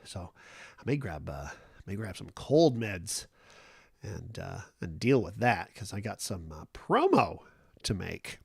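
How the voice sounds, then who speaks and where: A man's voice: low-pitched, sing-song, a little slow, creaky, slightly rough, no echo, clean studio sound. Man with a stuffy, nasal cold voice. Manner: rambling and easygoing, many fillers and restarts. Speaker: livestream host. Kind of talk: casual morning chat.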